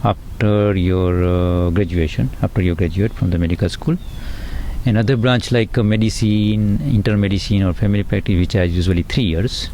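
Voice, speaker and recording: Low, mellow Indian-accented man explaining. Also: moderate pace, slight background noise. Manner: earnest and explanatory, frequent "uh" and "right, right". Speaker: pathologist guest. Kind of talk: explanatory interview answers.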